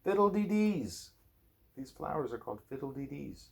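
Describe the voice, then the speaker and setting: A man reading a storybook aloud to a child as a parent, expressively and with drawn-out phrases. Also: on the high side, very animated, gravelly, darkish tone, slightly rough.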